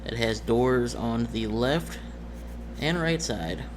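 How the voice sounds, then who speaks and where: A man talking as game master, explaining a tabletop game scenario casually in a halting, self-correcting way. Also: medium pitch, noisy background.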